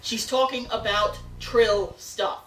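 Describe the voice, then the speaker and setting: A woman with an American accent is heard from a little way off the mic in a roomy space, with faint noise behind her. She talks as a podcast co-host in casual review chat, drawing out key words for emphasis in a fairly high voice. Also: steady tone, slow, a bit bright, recorded from a distance, faint music behind. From United States.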